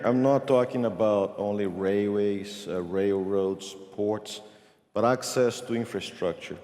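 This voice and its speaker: A man with a fairly low voice answers as a conference panelist, walking through his points one by one with small restarts. He sounds measured rather than rushed. His voice carries the long reverb of a large conference hall. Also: creaky, darkish tone.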